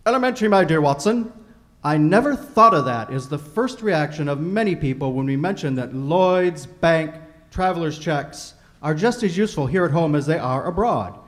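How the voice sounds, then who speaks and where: A man playing a polished 1940s radio announcer and sponsor pitchman, reading formal, theatrical scripted copy. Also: expressive, normal speed, lightly acted. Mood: persuasive.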